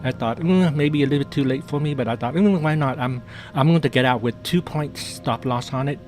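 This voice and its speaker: Male live-stream trader, mid-pitched voice, casual and jokey, repetitive phrasing, light music underneath. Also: fairly quick, darkish tone. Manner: chatty, jokey, repeats phrases and often says "man". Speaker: day trader hosting a live trading stream. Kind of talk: casual live trading commentary.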